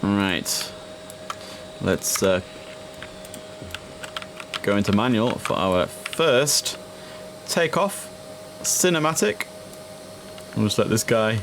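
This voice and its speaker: A young man chatting casually through a simulator game, relaxed and filling pauses with ums and uhs. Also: on the low side, gravelly, background noise. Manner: chatty, relaxed, with frequent fillers. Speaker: gaming streamer. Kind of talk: casual gameplay commentary.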